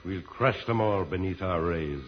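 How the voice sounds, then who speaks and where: Deep, gloating evil space tyrant. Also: male voice, heavy background noise. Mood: gloating menace. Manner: slow, menacing, gloating villain delivery. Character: evil, gloating male tyrant ruler of Mars. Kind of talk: old-time radio serial acting. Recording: dull vintage radio recording, loud music.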